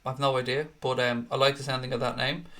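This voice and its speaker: Man, Irish accent, young adult, live-stream host, chatty, casual swearing, bright forceful tone. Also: medium pitch, little pitch movement, fast. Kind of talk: casual live-stream chat reading viewer comments.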